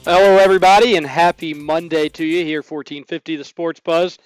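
A man hosting a radio sports talk show, his voice a little hoarse, talking in an energetic, chatty way. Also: fairly high pitch, a little fast, soft background music, rough recording.

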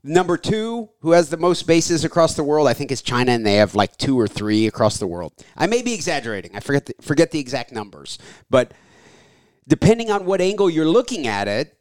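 A man's voice: fairly high pitch, sing-song, fairly quick, no echo. A middle-aged man hosting his own podcast, talking in a chatty, rambling way and reading his own ad spots. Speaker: podcast host. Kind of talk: casual interview chat.

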